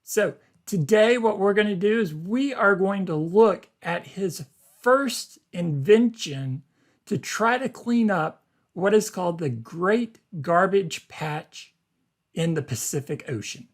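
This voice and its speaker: Slow-paced man reading a lesson aloud. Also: sits high, expressive. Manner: deliberate, simple phrasing for learners. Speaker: teacher. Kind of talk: read-aloud lesson with simple explanations.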